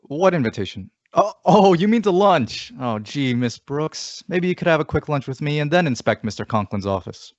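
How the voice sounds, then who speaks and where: A man's voice: on the high side, very animated, normal speed, hardly any pauses, muffled recording. Man acting a bashful, swooping-voiced male teacher. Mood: sheepish, puzzled. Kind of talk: old-time radio comedy acting.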